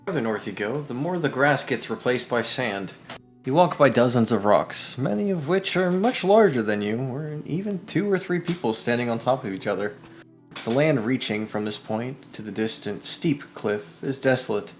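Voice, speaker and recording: Man narrating a story game over soft music. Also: medium pitch, expressive, normal speed. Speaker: co-reader on a gaming live stream. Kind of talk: live read-aloud of interactive fiction narration.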